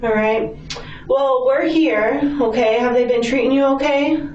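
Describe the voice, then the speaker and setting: A woman's voice: sits high, a little slow, darkish tone. An American woman working as a police detective, asking questions in a sympathetic way and often checking answers. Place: police interview room. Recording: distant echoey mic, dull audio, faint noise.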